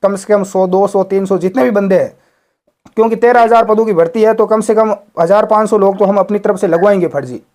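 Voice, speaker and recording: A man's voice: high-pitched, brisk, a little mellow. A man talking on a phone call, explaining and questioning, repeating himself for emphasis. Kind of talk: phone conversation.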